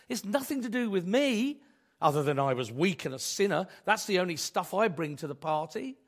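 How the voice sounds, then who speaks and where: A man preaching a sermon, hoarse from a cold, asking his congregation rhetorical questions in a conversational way. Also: high-pitched. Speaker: pastor.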